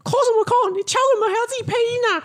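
Shrill, haughty fairy-tale princess. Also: male voice, fairly even intonation, very fast. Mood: haughty, snappy. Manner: scolding, theatrical, shrill. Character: haughty, snappy fairy-tale princess, a magical fountain spirit.

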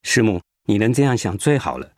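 An actor playing a kind, steady male oncologist in a radio drama, explaining things in a reassuring way. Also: fairly low pitch, fairly quick, dry recording, clean studio sound. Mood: reassuring, firm. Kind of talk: Mandarin radio drama acting.

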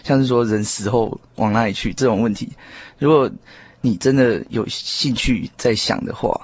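Male guest, hesitant conversational delivery, medium pitch, very dry recording. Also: moderate pace, darkish tone, breathy edge, no echo. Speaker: guest on a radio programme. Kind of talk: casual studio talk.

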